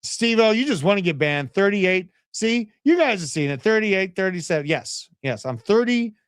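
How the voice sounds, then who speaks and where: Brash man in his thirties, bright pitch. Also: moderate pace, smooth, dry recording, clean studio sound. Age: 30s. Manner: brash, animated, chats with live viewers. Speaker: crypto livestream host. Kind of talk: casual livestream market commentary.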